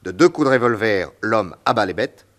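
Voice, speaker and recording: An actor playing a confident detective who reasons out loud in an old radio drama. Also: male voice, on the low side, animated, moderate pace. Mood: explaining. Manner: assured, deductive. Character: confident adult male detective, brisk. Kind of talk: 1950s radio drama acting.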